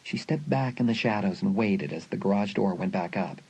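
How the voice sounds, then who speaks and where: A man's voice: on the low side, moderate pace, a little mellow, slightly rough, poor audio. A man reading suspenseful scripted narration for a dramatised audiobook. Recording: faint music behind the narration.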